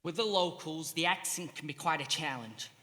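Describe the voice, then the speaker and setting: A man's voice: fairly high pitch, normal speed, pushed and bright, in a large hall. An actor playing a dry, by-the-book male army switchboard soldier with clipped, procedural delivery.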